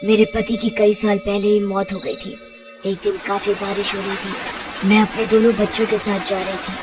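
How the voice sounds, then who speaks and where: A woman voicing a vengeful, theatrical ghost witch who threatens and mourns in an animated horror story. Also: medium pitch, normal speed, music in the background, natural voice. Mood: bitter, grieving. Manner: menacing, theatrical.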